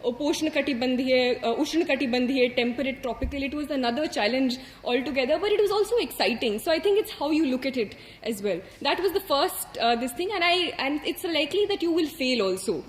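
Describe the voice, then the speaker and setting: High-voiced young Indian woman, echoey room. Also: animated, fairly quick, hardly any pauses. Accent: Indian English. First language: Hindi. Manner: thoughtful, with frequent hesitations and fillers. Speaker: interviewee. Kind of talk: candid personal story.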